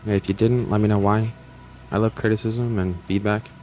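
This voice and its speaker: A man's voice: fairly low pitch, flat delivery, brisk, dark tone, soft background music. A man giving casual, unscripted commentary as a channel host, in a hesitant, rambling way.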